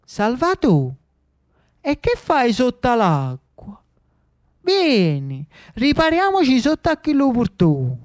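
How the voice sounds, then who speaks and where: A man's voice: very high voice, wildly swooping pitch, slow, dry recording, voice pushed up, exaggerated voice. A man voicing a devilish, mockingly menacing tempter with a Neapolitan accent in a theatrical radio monologue. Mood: coaxing menace.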